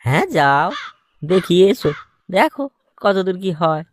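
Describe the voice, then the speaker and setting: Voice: medium pitch, big pitch swings, normal speed, very noisy, natural voice. An actor voicing a crude, mocking, scheming village man in a comic cartoon dub. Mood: teasing.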